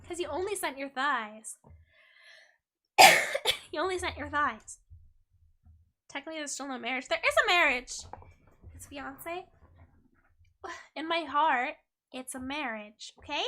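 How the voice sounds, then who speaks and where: A young woman streaming a game and chatting with viewers, playful and teasing, her voice a little hoarse. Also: sits high, a little slow, pauses often, pushed and bright. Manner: playful, giggly, teasing chat. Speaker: VTuber streamer. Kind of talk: casual game stream chat, reading chat.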